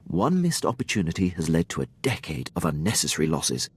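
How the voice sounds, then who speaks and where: An actor playing a dutiful Imperial military officer in a video game, speaking with precise, deferential formality. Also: male voice, low voice, sing-song, brisk, breathy edge, exaggerated voice. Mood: grave, intent. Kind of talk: scripted video game dialogue.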